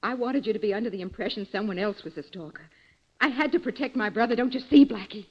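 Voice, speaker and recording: A woman's voice: medium pitch, fast talker, slightly rough, lightly acted. A woman playing a defensive, resentful prisoner in 1940s radio style, insistent in her delivery. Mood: bitter, defensive. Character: bitter, defensive jailed woman protecting her brother. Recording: dull lo-fi old radio recording.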